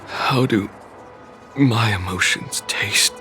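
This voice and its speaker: A battle-worn knight nearing death in a fantasy game. His voice is low, calm and commanding. He speaks gravely and unhurriedly, with music underneath. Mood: wistful, resigned. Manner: measured, grave, deliberate. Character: dying male warrior knight, calm, commanding old friend. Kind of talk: dramatic game dubbing.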